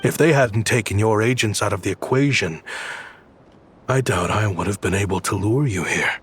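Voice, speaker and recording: An actor playing a grim, weary man bent on revenge, speaking in a measured, quietly threatening way in a video game. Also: on the low side, slight background noise, lightly acted. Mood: cold menace. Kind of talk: dramatic video game voice acting.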